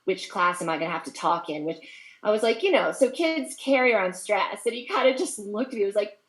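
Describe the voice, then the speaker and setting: A woman's voice: mid-range voice, animated, brisk, roomy sound. A middle-aged woman in a casual group chat, speaking candidly and reflectively and laughing easily as she tells her stories.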